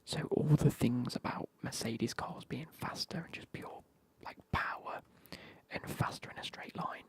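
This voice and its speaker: A man's voice: medium pitch, steady tone, measured pace. Whispering man chatting on stream. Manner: whispering, banter with chat, swearing. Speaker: live streamer. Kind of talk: whispered casual stream chat.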